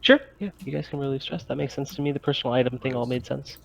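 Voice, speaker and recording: A man narrating a tabletop game as game master, describing scenes in a halting way. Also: medium pitch, gravelly.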